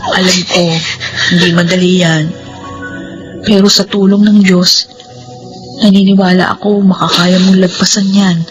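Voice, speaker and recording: An actress playing a caring young best friend who talks briskly and comfortingly in a radio drama. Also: female voice, mid-range voice, level tone, soft background music, close to an everyday voice, rough recording.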